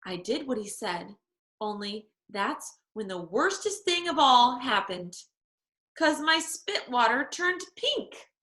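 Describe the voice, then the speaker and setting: A woman reading a children's story aloud in expressive narration, phrasing it emphatically for young listeners. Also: a little high, takes it slowly, reverberant.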